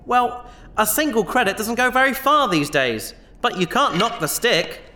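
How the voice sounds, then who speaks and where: Sci-fi audio drama: actor as easygoing male treasure hunter, bright and animated, glib delivery, faint noise behind. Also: medium pitch, normal speed. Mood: glib, defensive. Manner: glib, casual, self-justifying. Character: easygoing, fast-talking, apologetic space treasure hunter, glib schemer.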